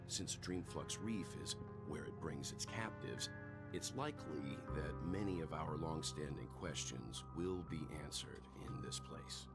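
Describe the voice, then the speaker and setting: An actor playing a composed, analytical mature gentleman in a video game, speaking in a measured, formal, authoritative way. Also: rumbling low. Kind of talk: scripted game voice acting. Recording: loud music behind the voice.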